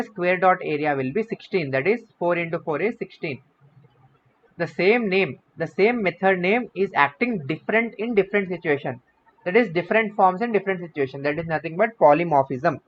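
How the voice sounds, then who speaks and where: Young man teaching code. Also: fairly high pitch. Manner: explanatory, repeats and rephrases himself. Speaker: coding bootcamp instructor. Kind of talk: online coding lecture. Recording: slightly dull audio with faint noise.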